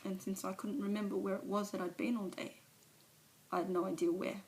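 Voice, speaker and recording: A woman's voice: medium pitch, flat delivery, brisk, darkish tone, close to an everyday voice. A woman performing a monologue as a remorseful recovering alcoholic mother, speaking confessionally and sometimes dropping to a whisper, recorded on a phone camera. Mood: ashamed.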